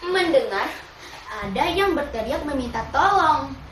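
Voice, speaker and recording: A child of about ten reciting a children's fable as a storyteller, with deliberate, dramatic phrasing. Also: high voice, animated, fairly bright tone. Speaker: storytelling contest participant. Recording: roomy, distant mic, clearly noisy ambience.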